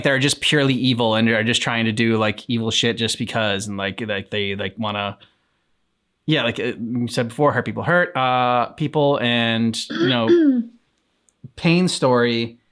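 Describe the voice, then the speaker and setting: A man giving casual reaction commentary in a halting way, thinking aloud. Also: mid-range voice, a bit bright, clean tone. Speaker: reaction channel host. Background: faint game music.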